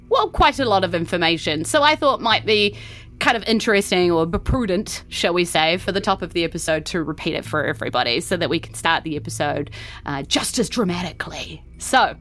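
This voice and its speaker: A woman hosts a tabletop game show in a bright, forward voice. She is informal, theatrical and giggly, with music playing underneath. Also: on the low side. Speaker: host and game master of a podcast.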